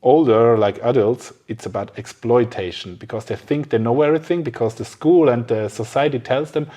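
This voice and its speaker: A man's voice: mid-range voice, normal speed, warm tone. Male podcast interviewer, mid-range voice, casual conversational delivery, agreeable manner. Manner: agreeable, frequent affirming responses. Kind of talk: casual chat.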